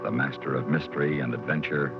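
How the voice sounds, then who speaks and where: A man announcing a 1940s radio thriller in a booming, portentous voice, on a dull old broadcast with music behind. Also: steady tone, fast talker. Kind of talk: dramatic show announcement.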